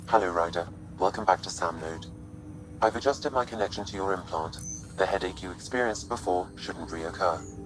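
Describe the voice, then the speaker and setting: A man voicing a calm, sentient AI companion in a video game, speaking formally and precisely. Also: sits low, moderate pace, background noise, close to an everyday voice. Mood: calm, informative. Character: calm sentient male artificial intelligence companion. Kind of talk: video game cutscene dialogue.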